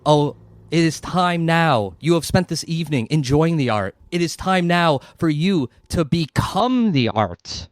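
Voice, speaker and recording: A man's voice: on the high side, animated, a little slow, no echo, clean studio sound, pitched above the performer's own voice. A man voicing a gloating, fanatical cult leader who speaks in grand, ceremonial announcements in a tabletop game. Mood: grand, solemn.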